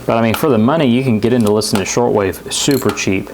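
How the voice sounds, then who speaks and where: A man talks through a gadget review in a loose, unscripted way. His easygoing voice sits at an ordinary middle pitch, with clear radio static and noise running behind it. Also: fast, darkish tone. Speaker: product reviewer. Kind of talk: casual hands-on radio review. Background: shortwave radio static and stations.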